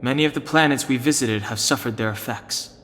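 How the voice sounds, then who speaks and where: An actor playing a serious, matter-of-fact young traveler in a video game, terse and deadpan. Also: male voice, medium pitch, brisk, slight background noise, lightly acted. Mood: serious, explanatory. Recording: hall reverb, faint music behind.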